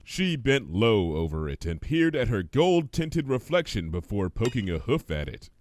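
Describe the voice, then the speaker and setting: A man reading a story aloud as scripted, expressive narration. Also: lowish voice, moderate pace, a little hiss or hum. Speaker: fan fiction reader.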